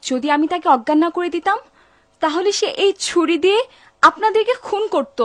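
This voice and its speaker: A woman's voice: extremely high pitch, expressive, a little fast. A woman voicing a brave, clever young servant in a dubbed cartoon, firm and earnest.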